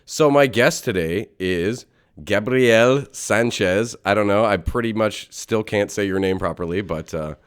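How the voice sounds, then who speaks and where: A man's voice: fairly low pitch, unhurried, hardly any pauses, clear, resonant tone. A man hosting a podcast in a casual, joking chat, with a laid-back, rambling and informal manner. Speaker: podcast host.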